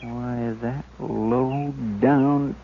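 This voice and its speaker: An actor playing a loyal, simple-hearted frontier deputy with an American accent, folksy and deferential, in a 1950s radio western. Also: male voice, mid-range voice, fairly even intonation, extremely slow, vocal fry. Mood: indignant, earnest. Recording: muffled, noisy 1950s radio recording.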